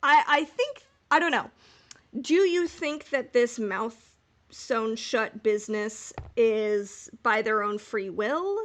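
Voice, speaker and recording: A woman's voice: a little high, animated, slow, a bit bright. A woman hosting a podcast, chatting casually and informally as she speculates in a fan discussion. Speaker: podcast host.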